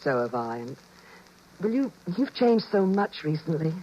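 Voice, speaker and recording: Husky, lilting 1950s radio wife. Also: female voice, sits low, very animated, normal speed. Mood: affectionate, coaxing. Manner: gentle, coaxing, hesitant phrasing. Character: charming, affectionate suburban wife, coyly knowing. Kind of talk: 1950s radio drama acting. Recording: dull, muffled 1950s radio recording.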